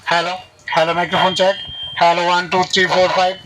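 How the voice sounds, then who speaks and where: Fast-talking, high-voiced Bangladeshi salesman. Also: level tone. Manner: repeats phrases and prices. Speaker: electronics shop seller. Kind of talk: informal product demonstration. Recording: clearly noisy audio with clicks.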